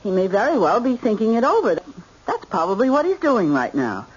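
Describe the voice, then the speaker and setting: A woman's voice: mid-range voice, very animated, fairly quick, slightly rough, highs cut off. A woman playing a fussing, protective middle-aged wife and mother in an American radio drama, chiding and firm. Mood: firm, defensive. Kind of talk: old-time American radio drama acting. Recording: band-limited vintage radio recording, faint noise.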